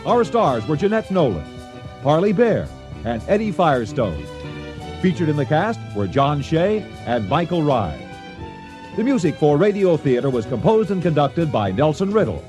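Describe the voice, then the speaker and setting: An American man announces for radio theater from a script. His voice sits a little high and moves expressively, with music clearly behind it. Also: very animated, normal speed.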